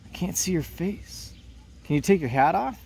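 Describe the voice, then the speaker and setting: A man reading a play aloud, voicing an outspoken, eager eighth-grade girl in an animated, insistent way. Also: high-pitched, moderate pace, gravelly, distant mic, slight background noise, pitched above the performer's own voice. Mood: friendly.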